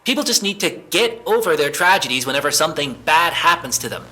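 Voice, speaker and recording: A man playing an evasive, jittery young man being questioned by police, halting and defensive, in a naturalistic audio drama. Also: fairly high pitch, lively intonation, bright, forceful tone. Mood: irritated, defensive.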